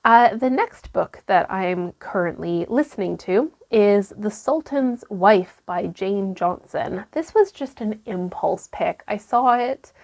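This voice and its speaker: A young woman chatting casually to camera about her reading, her voice still croaky after illness, with lots of ums and restarts. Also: medium pitch, lively intonation, slow pace, few pauses, darkish tone. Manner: rambling, many ums and restarts. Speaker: book vlogger.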